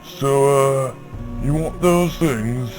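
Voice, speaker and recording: A man's voice: medium pitch, very slow, rough recording. A man playing a casual, curious, slightly hesitant shopkeeper in an amateur audio drama. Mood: laid-back, casual. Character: casual, curious shopkeeper handing over odd supplies. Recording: basic home recording, loud music behind.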